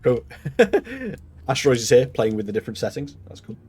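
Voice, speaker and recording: A man's voice: medium pitch, huge pitch swings, brisk, darkish tone, husky. A man with a Mancunian accent chatting casually while live-streaming a game, rambling and laughing often. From Manchester, England.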